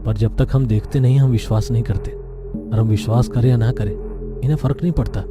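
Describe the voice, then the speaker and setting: A man playing a fearless, eerie young morgue newcomer in a horror story, speaking calmly and gravely. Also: mid-range voice, fairly quick, natural voice. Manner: calm, grave, philosophical. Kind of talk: dramatized Hindi horror audio story. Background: music.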